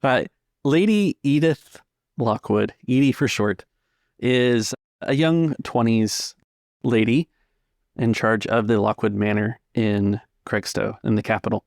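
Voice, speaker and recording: A man narrating a tabletop game as game master, descriptive and measured, pausing mid-phrase. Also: medium pitch, gravelly, a little mellow, dry recording, studio quality. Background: music.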